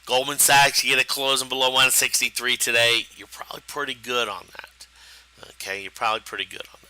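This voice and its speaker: A man with a rough, hoarse voice hosting a live stock trading stream, talking in a halting way with many fillers and restarts. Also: medium pitch, level tone, bright, forceful tone, poor audio. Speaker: stock trading show host. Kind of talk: live market commentary.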